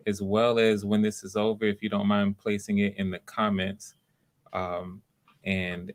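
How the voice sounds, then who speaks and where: A man hosts an online audition casually. His voice is on the low side with little rise and fall, and his manner is polite and encouraging. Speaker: audition host.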